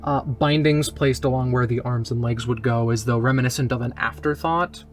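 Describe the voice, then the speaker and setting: A storyteller describing a scene for a tabletop game, deliberate and pausing between phrases. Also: medium pitch. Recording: music behind the voice. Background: music.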